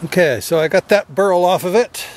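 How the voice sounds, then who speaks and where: A middle-aged man talks through a job step by step in a plain, casual way, his voice sitting a little high. He is recorded outdoors on a camera mic with a faint hiss of background noise. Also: moderate pace. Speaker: DIY video host. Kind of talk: casual how-to narration. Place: outdoors in wooded yard.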